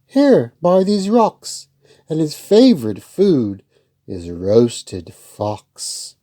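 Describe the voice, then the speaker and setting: A man voicing a clever little brown mouse in a children's story, read aloud with bright emphasis. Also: fairly high pitch, wildly swooping pitch, very slow, pitched above the performer's own voice, full character voice. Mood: bold, playful.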